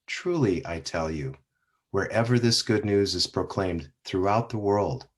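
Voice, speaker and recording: A man reading the part of Jesus in a church passion play, solemn and measured. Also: low-pitched. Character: Jesus, grave calm male teacher. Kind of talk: dramatic scripture reading. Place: church sanctuary.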